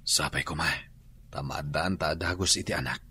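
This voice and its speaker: An actor playing an earnest, soft-spoken husband who longs for children. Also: male voice, very deep voice, normal speed, a little hiss or hum. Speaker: husband working abroad. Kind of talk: Ilocano radio drama acting.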